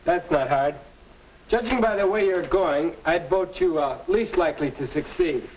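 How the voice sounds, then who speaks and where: Young man as preachy, confident American schoolboy, high-pitched for a male, dull fifties educational film soundtrack. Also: normal speed, darkish tone, a little hiss or hum, natural voice. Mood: preachy, blunt. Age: young adult. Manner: preachy, earnest. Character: earnest high school boy reporter, confident, advice-giving friend. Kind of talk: 1950 instructional film acting.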